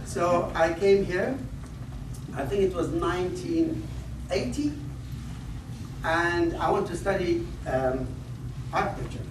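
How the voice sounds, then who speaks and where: A man's voice: high voice, slow pace. A man in his fifties from northern Iraq, giving a conference talk with rambling personal stories, self-deprecating jokes and frequent restarts. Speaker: invited conference speaker. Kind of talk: lecture opening with personal anecdotes. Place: lecture hall. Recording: echoey hall, distant mic, background noise.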